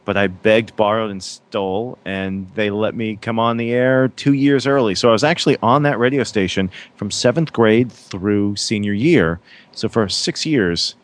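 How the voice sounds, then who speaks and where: A man's voice: fairly low pitch, normal speed. A man in his thirties from Massachusetts, a radio DJ chatting as a guest in a casual interview, rambling with many fillers and restarts. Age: 30s.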